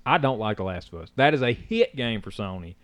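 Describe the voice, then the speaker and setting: A man chats casually on a podcast, rambling and restarting his sentences. He talks quickly, and his voice sounds close and dry, with no room around it. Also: fairly low pitch, animated, fairly bright tone, no room sound. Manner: rambling, talks over others, restarts often. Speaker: podcast co-host. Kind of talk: casual chat.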